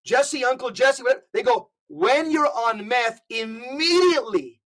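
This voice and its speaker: A man speaking as a podcast guest, emphatic and animated as he tells his stories. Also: extremely high pitch, a little slow. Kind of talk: casual conversational podcast.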